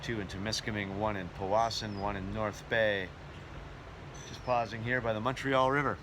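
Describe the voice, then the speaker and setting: Low-voiced man, noisy location sound. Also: steady tone, moderate pace. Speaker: travelling entertainer and vlogger. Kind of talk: casual travel vlog narration. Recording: noisy ambience, vehicle sounds.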